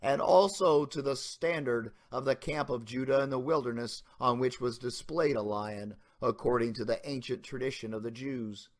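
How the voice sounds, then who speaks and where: A man giving a dramatic reading of an old sermon as a pastor, in solemn, deliberate, emphatic formal oratory. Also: mid-range voice.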